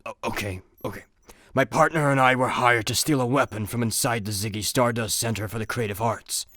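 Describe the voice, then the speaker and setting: An actor playing a big, smug, mercenary space hero turned villain, taunting and self-important, in a comedic sci-fi radio play. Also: male voice, mid-range voice, fairly quick, pushed and bright, no room sound, clean studio sound. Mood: reluctant confession.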